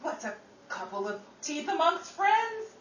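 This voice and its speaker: A woman plays a wisecracking, star-struck dame in a 1930s radio comedy. She is high-pitched and wildly animated, brash and sarcastic. The recording is very noisy, with a loud audience around her. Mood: cajoling. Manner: sarcastic, melodramatic, fast-quipping. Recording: echoey distant stage recording, loud noise, audience.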